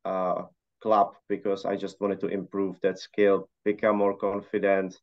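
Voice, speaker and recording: Low-voiced man on a dull line. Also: unhurried. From Czech Republic. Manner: relaxed, hesitant with many fillers. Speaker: interview guest. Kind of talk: casual conversational answers.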